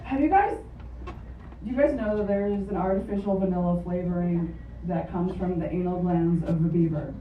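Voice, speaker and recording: A woman whose first language is Japanese, doing a stand-up comedy set on a club stage with wry, deadpan storytelling, caught on a phone voice memo from the audience. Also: on the low side, moderate pace, a little hiss or hum. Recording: lo-fi phone recording from far off in an echoey club. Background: audience laughter.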